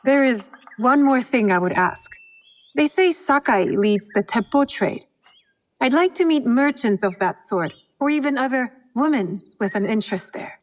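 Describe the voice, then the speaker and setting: A woman's voice: fairly high pitch, expressive, normal speed, natural voice, rough recording. An actress playing a bold, composed woman posing as a trader in a video game, polite and self-assured. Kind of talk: video game cutscene acting.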